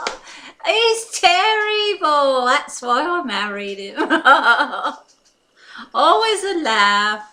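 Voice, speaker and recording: A woman's voice: on the high side, sharp tone, full character voice. A woman doing a giggly, rambling English wife. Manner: rambling, giggly, repeats herself. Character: chatty fussy English wife in her fifties. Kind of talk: comic character monologue.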